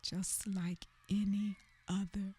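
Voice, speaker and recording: An actress in a radio theater play, voicing a grown woman who recalls a hard childhood with quiet resolve. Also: mid-range voice, level tone, slow, slight background noise, lightly acted. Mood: resolute.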